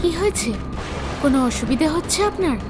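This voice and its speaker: An eerie female ghost from a horror cartoon, played by a woman, with a high voice. She is a beautiful, sorrowful mother who speaks in a measured way over faint music. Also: animated. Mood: puzzled, guarded.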